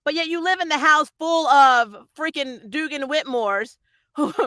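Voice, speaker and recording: Vlogger, bright forceful voice, very dry sound, casual rambling review delivery with an exasperated edge. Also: sits high, measured pace, no echo. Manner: rambling, conversational, slangy, mildly exasperated. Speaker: YouTube TV series reviewer. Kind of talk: casual opinionated review chat.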